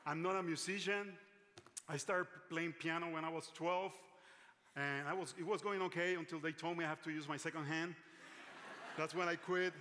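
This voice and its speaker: Brief: jokey Puerto Rican-accented male preacher, bright higher voice, big reverberant hall. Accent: Puerto Rican Spanish. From Puerto Rico. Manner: joking, self-deprecating. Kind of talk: sermon with live interpretation. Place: conference hall stage. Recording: large hall reverb, faint noise.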